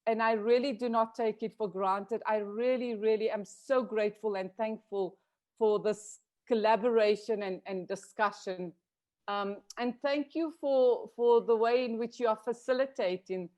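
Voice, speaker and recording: A woman's voice: fairly high pitch, fairly even intonation, normal speed, a little mellow. A woman from South Africa answering questions on a video call in an earnest, grateful way, with frequent restarts. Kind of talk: reflective panel discussion.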